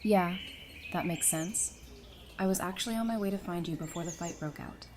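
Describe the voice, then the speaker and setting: A woman's voice: fairly low pitch, normal speed, noisy background, close to an everyday voice. A woman playing a friendly, level-headed survivor and peacemaker in an audio drama, conversational and earnest. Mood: concerned, fond.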